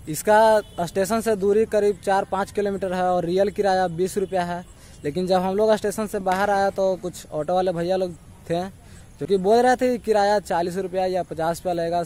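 A man's voice: high-pitched, warm tone. A man in his twenties from India talking casually to the camera as a travel vlogger, recorded on a handheld phone. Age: 20s. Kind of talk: casual vlog narration to camera. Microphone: phone at arm's length. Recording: noisy phone recording, street and vehicle ambience.

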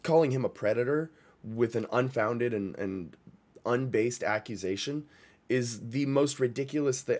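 A young man giving casual, blunt, slangy reaction commentary with an exasperated manner. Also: mid-range voice. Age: young adult. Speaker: reaction video host. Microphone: boom microphone close by.

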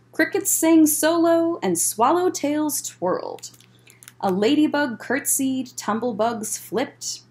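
Female story time reader, fairly high voice, lively intonation, unhurried, clear explaining manner for kids. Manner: expressive, deliberate, explains to young listeners. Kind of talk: read-aloud picture book storytelling with asides. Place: indoors, library room with bookshelves.

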